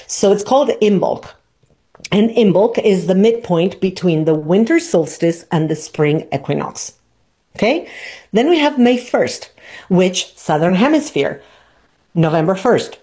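A woman, hosting a podcast and teaching in an unhurried way, tagging her points with "right?". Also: fairly low pitch, dark tone. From Argentina. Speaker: podcast host. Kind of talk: reflective teaching talk.

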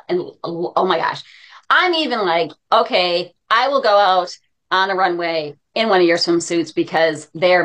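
A woman's voice: fairly low pitch, a bit bright. A woman hosting an interview and coaching, who asks encouraging questions and repeats words for emphasis. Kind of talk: casual interview.

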